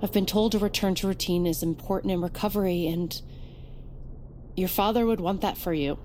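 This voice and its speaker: A woman's voice: on the low side, brisk, darkish tone, lightly acted. A woman playing a concerned, insistent middle-aged mother in an audio drama, coaxing patiently but firmly. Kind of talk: naturalistic audio drama acting. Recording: clear vehicle and ambient noise.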